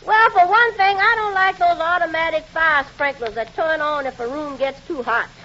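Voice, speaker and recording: An actress playing a plain-spoken, complaining housemaid in a 1940s radio comedy. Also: female voice, very high voice, moderate pace, bright, forceful tone. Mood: chatty. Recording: dull old radio recording, faint noise.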